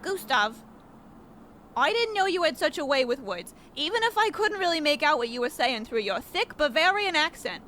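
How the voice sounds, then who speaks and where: Squeaky, bright, theatrical girlfriend. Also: very animated, normal speed, slight background noise, heavily acted. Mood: encouraging. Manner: theatrical, exclamatory, wordy. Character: excitable, theatrical tenement girlfriend of a boxer. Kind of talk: old-time radio play parody.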